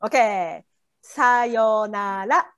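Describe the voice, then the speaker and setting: A woman's voice: mid-range voice, takes it slowly, fairly bright tone, clear, resonant tone. A native Japanese woman with a Japanese accent, tutoring casually phrase by phrase in brief turns with small acknowledgements. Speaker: language tutor.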